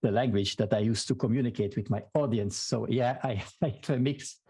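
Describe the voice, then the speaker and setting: A man from Belgium with a Flemish accent and Dutch as his first language, a podcast guest giving steady, explanatory answers with frequent restarts. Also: mid-range voice, a little fast, husky, no echo. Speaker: podcast guest, marketing strategist. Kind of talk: interview answers.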